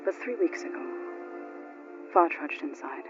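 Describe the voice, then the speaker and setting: A woman's voice: lowish voice, expressive. Solemn tribeswoman over prominent music. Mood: solemn, grieving. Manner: measured, earnest, formal storytelling. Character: solemn, devout tribeswoman who reveres machine gods. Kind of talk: dramatic video game acting. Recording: dull, compressed game audio. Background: prominent background music.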